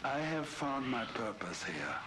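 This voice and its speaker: Slow, theatrical mad scientist. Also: male voice, mid-range voice, big pitch swings. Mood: cold, gloating. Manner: theatrical, slow menacing delivery with swelling outbursts. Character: deranged sadistic male Nazi scientist building undead soldiers. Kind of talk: dramatic video game audio log monologue. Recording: noisy period recorder audio log.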